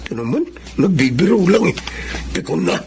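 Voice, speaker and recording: Animated, gravelly, cheeky sidekick. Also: male voice, fairly high pitch, brisk, slight background noise. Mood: teasing. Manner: jokey, animated. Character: cheeky, teasing bachelor sidekick and funeral parlor employee. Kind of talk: Filipino radio drama acting.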